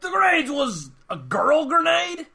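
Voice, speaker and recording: An excitable military general for a cartoonish audio comedy. The voice is strikingly high, sharp and full of gravelly creak, blustering as it goes. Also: male voice, measured pace, full character voice.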